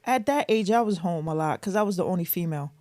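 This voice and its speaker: A woman's voice: lowish voice, quick pace, dark tone, dry recording. A middle-aged woman from the Boston area chatting candidly as an interview guest, often repeating herself for emphasis. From Boston area, Massachusetts. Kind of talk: casual personal reminiscence.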